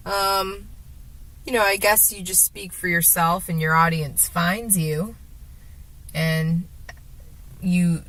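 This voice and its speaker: A woman with a sore throat and a gravelly, deeper voice, rambling hesitantly to the camera from her car, with many fillers and restarts. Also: fairly bright tone, low-quality recording. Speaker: vlogger. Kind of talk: rambling casual monologue while driving.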